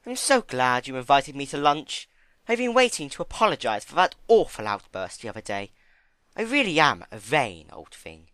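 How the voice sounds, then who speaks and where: A man's voice: medium pitch, big pitch swings, normal speed, sharp tone, no echo, voice pushed up. A man voicing a refined, vain female pony in an affected, theatrical manner for a dramatic storybook reading. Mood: contrite. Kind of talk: dramatic fan fiction reading.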